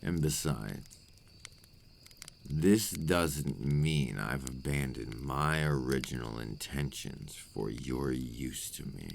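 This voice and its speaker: A man's voice: extremely low pitch, slow pace, creaky, slight background noise. A man playing a possessive, remorseful vampire captor in an intimate ASMR roleplay monologue, speaking in formal, old-fashioned phrases. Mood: regretful, measured.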